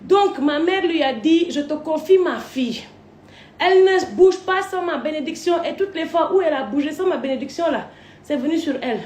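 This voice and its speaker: A high-pitched woman from Côte d'Ivoire tells a winding personal story, swearing freely as she goes. Her voice bounces around a roomy space. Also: expressive, moderate pace, darkish tone. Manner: crude, swearing, wandering storytelling. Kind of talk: rambling live rant. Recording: roomy echo.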